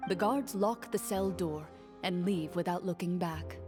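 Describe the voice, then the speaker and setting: A woman narrating a tabletop game as game master, calm and measured. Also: on the low side, music in the background, close to an everyday voice. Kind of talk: scripted narration.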